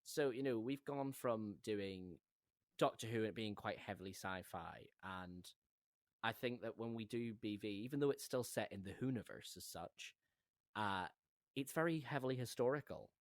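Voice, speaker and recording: A low-voiced man co-hosts a casual behind-the-scenes discussion, recorded very dry. He is chatty and rambles as he thinks aloud, like a director talking through his work. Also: moderate pace, no echo. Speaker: audio drama director and co-host.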